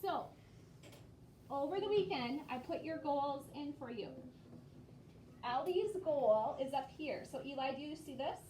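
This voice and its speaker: A woman's voice: high-pitched, lively intonation, a little slow, pauses often, warm tone. A woman teaching elementary children in a classroom, giving directive, step-by-step instructions. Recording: echoey room, distant mic, faint noise.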